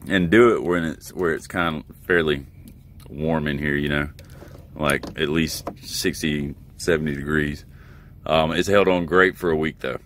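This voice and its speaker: A man's voice: extremely low pitch, steady tone, a little slow, a bit bright. A middle-aged American man, a truck driver, giving a casual, plain-spoken how-to while recording inside his truck cab. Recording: background noise in truck cab.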